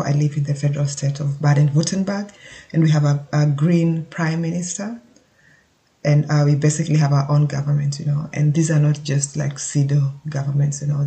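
A man with a Kenyan accent explaining things informally as a solo podcast host, haltingly, as if thinking aloud. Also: a little high, vocal fry, mellow.

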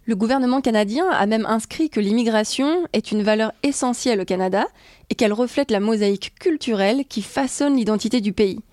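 A woman from France co-hosting a travel podcast in a bright, upbeat way. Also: fairly high pitch, brisk, dry recording, studio quality. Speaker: podcast co-host. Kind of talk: scripted travel podcast talk.

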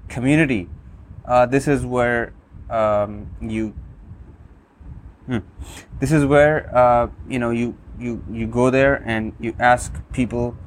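A man's voice: medium pitch, fairly even intonation, slow, long pauses. Guy w urdu as first language explaining an app, lots of uhs, slight background noise. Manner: hesitant, many fillers and restarts. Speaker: app reviewer. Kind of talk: demonstrating a phone app with a screen reader.

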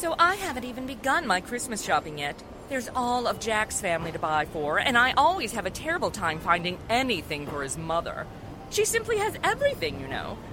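A haughty, self-righteous small-town housewife played by an actress in old-time radio style. Her mid-pitched voice swoops with emphasis, and there is clear street noise behind it. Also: wildly swooping pitch, a little fast. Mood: chatty, fussy. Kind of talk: 1940s radio drama acting.

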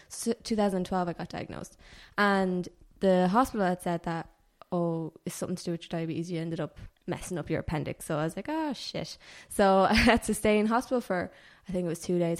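A woman in her twenties talks casually as a podcast guest, her voice sitting a little low. She rambles and corrects herself as she goes, easy and unpolished. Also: darkish tone. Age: 20s. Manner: chatty, rambling, self-correcting with laughs. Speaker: podcast guest, personal trainer. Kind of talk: casual chat.

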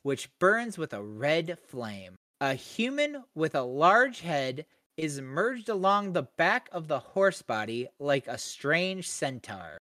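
A man's voice: fairly high pitch, dry recording. Jokey podcast guy, voice all over the place, dry close sound. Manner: jokey, animated reading with lively asides. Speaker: podcast co-host. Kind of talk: casual podcast chat, reading an excerpt aloud.

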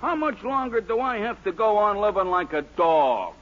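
A man's voice: high voice, very animated, moderate pace. An actor playing an indignant, henpecked husband in a 1940s radio comedy, blunt and folksy in his grammar. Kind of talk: 1940s radio sitcom acting. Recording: dull, noisy 1940s radio recording.

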